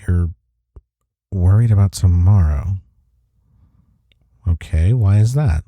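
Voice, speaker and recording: A man acting in an intimate audio roleplay as a gruff but caring office rival, speaking in a husky, soft, reassuring way. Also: low voice, slow, no echo. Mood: attentive, gently teasing.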